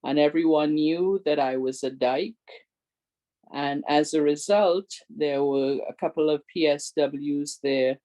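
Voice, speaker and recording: Slow, earnest older woman presenter. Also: low voice, mellow, rough recording. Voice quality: big, strong voice. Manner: earnest, pleading, repeats requests. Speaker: presenter and advocate. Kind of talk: community awareness talk.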